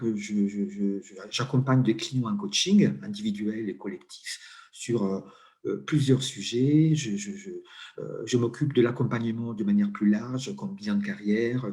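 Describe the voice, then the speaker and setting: A middle-aged man with an Italian accent, speaking French as an interview guest and pausing often with fillers and restarts. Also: lowish voice, a little mellow. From Italy. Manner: hesitant, many fillers and restarts. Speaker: interview guest, coach and HR consultant. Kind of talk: conversational interview.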